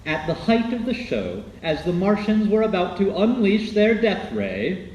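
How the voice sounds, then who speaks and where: A man's voice: high voice, very animated, a little slow, darkish tone. A man narrating a scripted radio documentary in a dramatic, announcer-like way. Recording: echoey, faint background noise.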